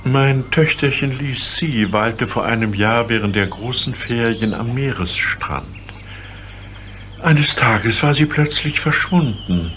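An actor playing a dignified, solemn old emperor of China with stately, formal speech in a children's radio play. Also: male voice, medium pitch, takes it slowly, background noise, natural voice. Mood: grave, measured.

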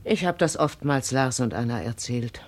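Radio drama role: older farm matriarch, grave and resolute, deliberate delivery in a low register. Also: female voice, lively intonation. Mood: earnest, confiding. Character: grave, proud older farm mistress, widowed mother-in-law. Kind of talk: 1950s German radio drama acting.

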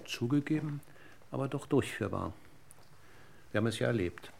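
A man acting a police inspector in a German radio crime drama, questioning in a deliberate, courteous and insistent way. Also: mid-range voice, expressive, creaky, darkish tone. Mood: explaining, assured. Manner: deliberate, courteous interrogator's delivery. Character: male police inspector, probing, methodical, politely insistent.

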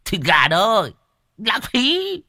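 A man voicing a rowdy drunken old man who scolds his drinking buddy in a shrill, squawking voice. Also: huge pitch swings, drawn-out words, vocal fry, sharp tone, dry recording, clean studio sound, heavily acted. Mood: shrill, scolding.

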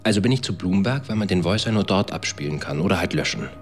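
A man's voice: sits low, expressive, fast talker, a little hiss or hum. An actor playing a brusque, cynical tech company man in a German radio drama, curt and sardonic. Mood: sardonic, defensive. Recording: music behind the voice.

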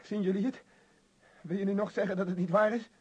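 A man's voice: sits high, flat delivery, brisk, a little mellow, breathy edge, no echo. An actor in a radio play voicing an earnest young man, urgent and intense, with a declamatory delivery. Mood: accusing. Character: earnest young man weighing his future selves, urgent. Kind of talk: radio drama acting.

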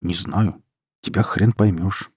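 A man's voice: low-pitched, steady tone, takes it slowly, a little mellow, slightly rough, no room sound, studio quality, exaggerated voice. A man voicing a proud, resentful teenage younger brother, defensive and indignant, in an audiobook story. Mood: sullen.

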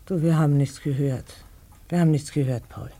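Worried older wife, husky voice, urgently pleading in a radio play. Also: female voice, deep voice, brisk, warm tone. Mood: wary. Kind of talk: 1950s German radio drama.

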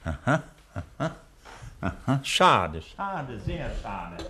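A man's voice: medium pitch, big pitch swings, slow, pushed and bright, soft background music, heavily acted. A smug criminal doctor in a vintage radio thriller. His voice sits mid-range and swings widely. He sounds theatrical, commanding and menacing. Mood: smug gloating. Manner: theatrical, self-satisfied, commanding. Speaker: villain in a radio crime play. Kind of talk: 1960s German radio drama acting.

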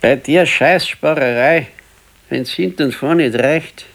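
An actor playing an elderly working-class husband with a Bavarian accent in a naturalistic radio play, curt, dry and grumbling. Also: male voice, fairly high pitch, measured pace, gravelly, a bit bright. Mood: grumbling, defensive.

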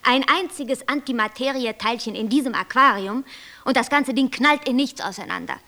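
An actress playing a stern, cerebral angel and creator in a radio play, speaking with precise, lecturing authority. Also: female voice, a little high, moderate pace, bright, forceful tone. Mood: analytical.

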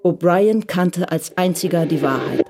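A woman's voice: fairly low pitch, a little slow, over loud music. A woman reading scripted narration as the narrator of a radio drama.

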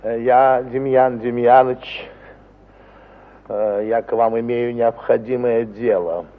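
A man plays a touchy, dignified gentleman in a vintage radio play. He speaks very slowly and formally, with elaborate politeness. The old recording is slightly muffled. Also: mid-range voice, steady tone, close to an everyday voice. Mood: solemnly aggrieved. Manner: measured, formal, over-polite. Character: ceremonious, dignified, touchy provincial gentleman nursing a grudge. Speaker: actor in an audio play. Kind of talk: 1950s Soviet radio theatre acting.

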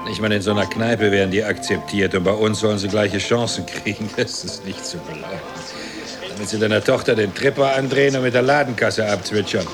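An actor playing a smug, self-righteous husband who passes scornful comments, in a radio play. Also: male voice, on the low side, brisk, music in the background, lightly acted. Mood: scornful, amused. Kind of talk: radio drama dialogue.

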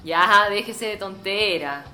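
A woman's voice: medium pitch, slow pace, sharp tone. A woman playing an earnest, insistent, status-conscious professional daughter with a Chilean accent, in a radio drama. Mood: exasperated.

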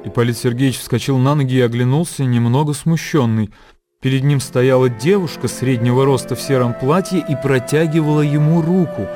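A man's voice: mid-range voice, brisk, warm tone. Male literary narrator over music. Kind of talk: literary scripted narration for radio play.

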